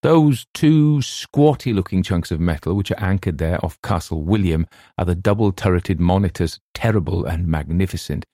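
A man reading as an eager young soldier briskly pointing things out. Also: deep voice, lively intonation, mellow, no room sound, clean studio sound. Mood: informative. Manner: brisk, explanatory listing.

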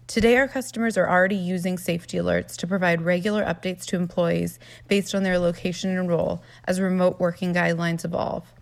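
A woman's voice: fairly low pitch, fairly even intonation, fairly quick, gravelly. A woman representing a company, reading a scripted corporate product update.

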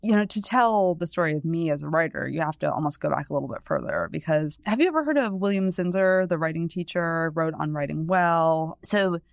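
A woman's voice: deep voice, brisk, gravelly, hardly any pauses, no echo, clean studio sound. A woman in her thirties from New England, a guest on a podcast, talking in a chatty, discursive way full of "you know" asides and easy laughs. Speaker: guest, newspaper columnist. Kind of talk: casual podcast conversation.

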